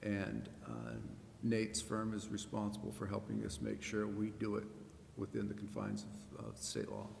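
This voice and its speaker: Very creaky, hesitant man in an echoey chamber. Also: on the low side, very animated, normal speed, few pauses, a little mellow. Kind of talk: answering questions at a public meeting. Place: indoor council chamber. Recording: echoey chamber with background noise.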